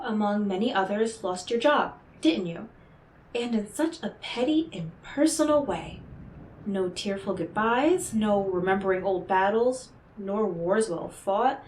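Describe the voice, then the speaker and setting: Woman doing a mysterious devil lady for an audio roleplay, unhurried and coy, teasing, condescending, roomy echo. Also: medium pitch, a little mellow, a little hiss or hum. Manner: coy, deliberate, theatrical, talking directly to the listener. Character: enigmatic devilish female stranger offering deals, smooth, teasing, condescending. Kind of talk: scripted roleplay audio drama monologue.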